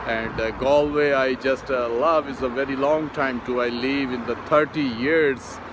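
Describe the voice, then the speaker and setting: A middle-aged man whose first language is Urdu, narrating a casual outdoor vlog from a city bridge in halting, broken English with many fillers. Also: medium pitch, fairly even intonation. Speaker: vlogger. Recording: outdoor phone recording with street noise. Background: traffic and city street noise.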